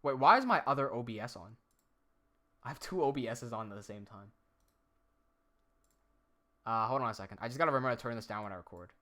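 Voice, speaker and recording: A man's voice: medium pitch, fast talker, long pauses. A man with an American accent chatting casually on a live stream, reading out viewer questions and answering them as he goes. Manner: chatty, reads out viewer questions while fiddling with software.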